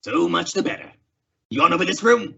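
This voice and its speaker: An actor playing a nervy, belligerent crook's henchman in an old-time western radio drama, with rough, blustering, slangy talk. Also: sits high, lively intonation, brisk, sharp tone, lo-fi sound, heavily acted. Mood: gruff, impatient.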